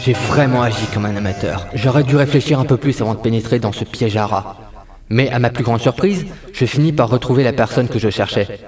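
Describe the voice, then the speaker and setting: A man's voice: mid-range voice, a little fast, a little mellow, faint music behind, lightly acted. A man playing a weary, wry and stubborn 1930s private detective in a French audio drama, narrating and talking in noir style. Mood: brooding narration.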